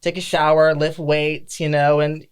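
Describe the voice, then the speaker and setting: A man on a podcast panel, telling candid stories in a relaxed, chatty way with frequent laughter. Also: a little high, a little slow, clean tone. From Detroit area. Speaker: podcast guest. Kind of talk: casual chat.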